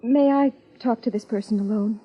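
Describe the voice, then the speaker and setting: An actress playing a poised, pleading wife, earnest and formal, in 1950s radio drama style. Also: female voice, on the high side, normal speed, husky. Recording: muffled 1950s radio recording.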